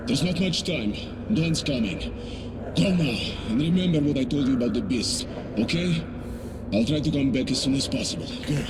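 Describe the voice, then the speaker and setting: A man's voice: medium pitch, animated, moderate pace, very noisy, lightly acted. A man playing a cautious, fatherly post-apocalyptic ranger guide in a video game, with a Russian accent and instructive, clipped phrases. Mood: urgent.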